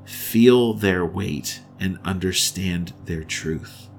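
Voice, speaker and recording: A man leading a guided meditation in a slow, soothing way, often repeating his phrases. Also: low-pitched, slight background noise. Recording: soft background music.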